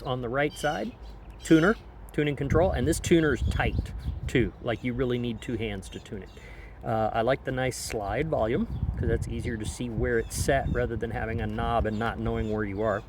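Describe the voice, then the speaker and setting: A man talks through a product hands-on in a casual, explanatory style. His pitch sits in a middle range for a man. He is recorded outside on a deck, with light ambient noise behind him. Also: normal speed. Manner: informal, explanatory. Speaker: product reviewer. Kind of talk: casual hands-on gadget review. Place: outdoors on a back deck. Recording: outdoor background noise.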